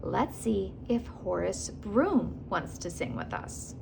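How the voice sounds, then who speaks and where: A woman's voice: medium pitch, very animated, takes it slowly, vocal fry, background noise, exaggerated voice. A woman voicing an eager, joyful young girl in a storybook. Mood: wistful, determined.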